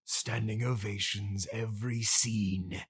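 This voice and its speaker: A man's voice: on the low side, steady tone, slow. A man playing a pompous, aggrieved classically trained stage actor in a comic mock interview, theatrical and self-important. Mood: boastful. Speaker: interview guest. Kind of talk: improvised mock interview comedy.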